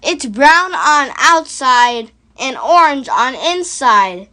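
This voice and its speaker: A woman voicing a greedy, excitable male monster with broken, simple grammar in a storybook. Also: sits high, slow, heavily acted. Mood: pleased.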